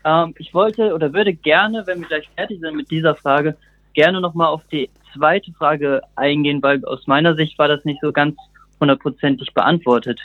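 Thin, narrow phone-like audio carries a man's somewhat high voice. He is a stage performer in an informal interview, feeling for his words and correcting himself. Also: steady tone, normal speed. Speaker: musical performer.

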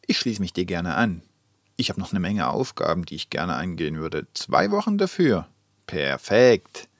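A man's voice: low voice, sing-song, normal speed, dry recording, clean studio sound, full character voice. A man playing a keen social media commenter in a radio collage of read comments.

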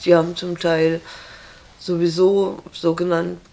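A flat, gravelly-voiced woman speaking bluntly. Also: fairly low pitch, a little slow, rough recording. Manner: flat, direct and firm. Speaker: interviewee. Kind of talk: blunt conversational interview.

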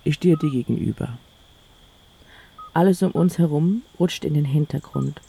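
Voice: mid-range voice, normal speed, creaky, dark tone, slightly rough, low-quality recording. A narrator telling a first-person audio story in the present tense, weaving quoted dialogue into the narration.

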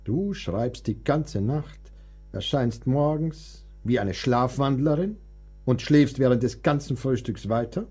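An actor in a radio play, playing a frail, melancholic composer who is weary, plaintive and self-pitying. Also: male voice, mid-range voice, takes it slowly, warm tone, breathy edge. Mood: gently teasing.

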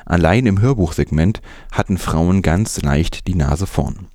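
A man's voice: deep voice, unhurried, darkish tone, no echo, studio quality. A man presenting scripted news as a narrator.

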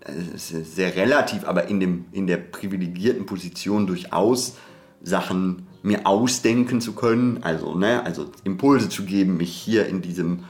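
Low-pitched, discursive podcast co-host. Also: normal speed, a little mellow, soft background music. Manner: discursive, hesitant, self-interrupting academic talk. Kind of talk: reflective conversational podcast discussion.

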